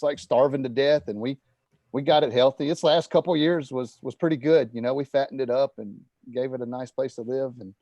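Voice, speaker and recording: Casual guest, male: gravelly, mellow, unhurried storytelling. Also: mid-range voice, no echo. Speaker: guest pilot. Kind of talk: casual live-stream chat.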